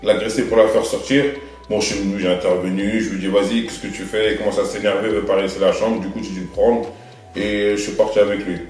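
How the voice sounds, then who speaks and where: A man tells the camera what happened in a casual, rambling confessional. His voice is lowish. He is heard from a distance in a very echoey room with faint music. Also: level tone, quick pace, darkish tone. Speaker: reality show contestant. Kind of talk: confessional recounting.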